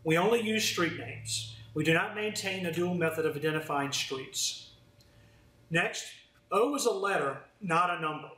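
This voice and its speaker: A man's voice: fairly high pitch, moderate pace, sharp tone. Man presenting formally in a roomy, distant recording. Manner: formal, instructional. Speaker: fire department deputy chief, presenter. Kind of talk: scripted training presentation. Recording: roomy, distant mic, faint noise.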